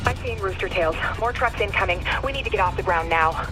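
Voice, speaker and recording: Alert male operative for a military game, acted by a man: high, rapid, clipped, under very loud music. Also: lightly acted. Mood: urgent. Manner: clipped, urgent. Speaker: actor in a video game. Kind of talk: video game cutscene acting.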